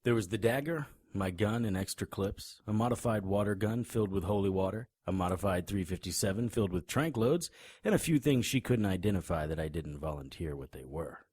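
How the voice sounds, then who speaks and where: Husky, low-voiced hard-boiled monster hunter. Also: male voice, expressive, brisk, a little mellow, no echo. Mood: wry narration. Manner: tough, wisecracking. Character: hard-boiled male monster hunter, grim, cocky, threatening. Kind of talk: audio drama acting with noir first-person narration.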